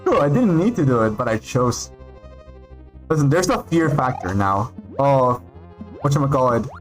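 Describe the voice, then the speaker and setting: A man from Peru whose first language is Spanish, chatting casually and excitably while streaming a game. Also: mid-range voice. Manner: rambling, excitable, reads out names with pauses. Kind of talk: casual livestream chat while gaming. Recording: faint background music.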